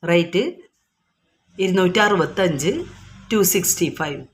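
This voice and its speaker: A woman's voice: fairly low pitch, unhurried, slight background noise. A woman with a Kerala accent informally showing products item by item, explaining each and repeating prices in an unscripted, repetitive way.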